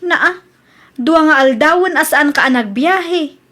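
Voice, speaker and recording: A woman's voice: high voice, slow pace, sharp tone, heavily acted. A woman with an Ilocano accent playing the fretful, nagging wife of a jeepney driver in a comedy radio drama.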